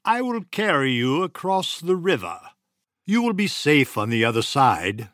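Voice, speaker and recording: A man voicing a sly male fox who coaxes in a smooth, honeyed way. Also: fairly high pitch, big pitch swings, unhurried, fairly bright tone, dry recording, studio quality, voice pushed up. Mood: coaxing. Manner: coaxing, honeyed.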